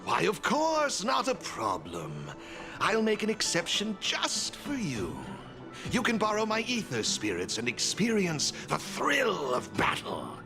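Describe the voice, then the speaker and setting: An actor playing a flamboyant masked gentleman in a video game, formal, courteous and theatrical, like a showman. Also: high-pitched, huge pitch swings, unhurried, exaggerated voice. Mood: gracious. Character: flamboyant masked gentleman, smooth event sponsor and gaming enthusiast. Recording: background music throughout.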